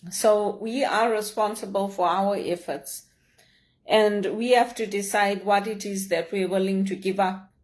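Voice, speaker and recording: A woman's voice: mid-range voice, steady tone. Earnest woman. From Zimbabwe. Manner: earnest, measured, heartfelt. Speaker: leadership vlogger. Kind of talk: personal reflective monologue.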